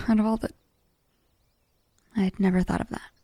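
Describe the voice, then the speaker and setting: An actress playing a conflicted woman, blunt yet vulnerable, with halting, intense delivery in a sci-fi audio drama. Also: medium pitch, level tone, darkish tone, no echo, clean studio sound, lightly acted. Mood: probing, earnest.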